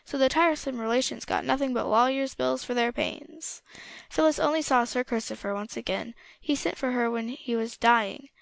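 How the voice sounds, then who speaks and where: A woman's voice: fairly high pitch, normal speed, vocal fry, no echo. A woman narrating a scripted story as an audiobook reader.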